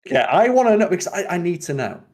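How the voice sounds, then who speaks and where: A man chatting casually as a podcast host, opinionated and given to sweary, animated rants. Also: high voice, quick pace.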